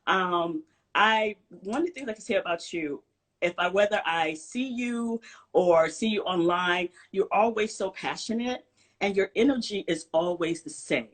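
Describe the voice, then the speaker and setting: A woman's voice: medium pitch, animated, a little slow. A woman from Brooklyn and Virginia hosting a casual conversational interview, effusive and upbeat with many fillers and restarts. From born in Brooklyn, raised in Virginia. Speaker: interview host.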